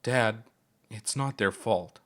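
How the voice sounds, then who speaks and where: A man's voice: fairly low pitch, takes it slowly, fairly bright tone. Man voicing a shaken young creature daughter. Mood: pleading. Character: young adopted anglerfish daughter, earnest and shaken.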